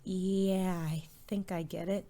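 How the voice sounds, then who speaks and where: A woman's voice: fairly low pitch, little pitch movement, slow pace, dark tone, slight background noise. A woman playing an anxious, self-doubting teenage student in an audio drama, with a hesitant, awkward, wry manner. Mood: nervous, wry. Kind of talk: audio drama acting with first-person narration.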